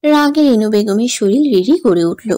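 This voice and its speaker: A woman's voice: on the high side, animated, moderate pace, warm tone, no echo, clean studio sound. A woman narrating a scripted dramatic story as its storyteller.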